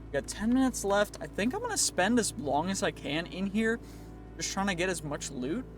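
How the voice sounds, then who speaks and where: A man's voice: sits high, big pitch swings, normal speed. A man with a sniffly, stuffy nose giving casual, chatty gameplay commentary, often reassuring himself and playfully pleading for loot. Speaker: gaming youtuber. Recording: clear music bed behind. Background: game music.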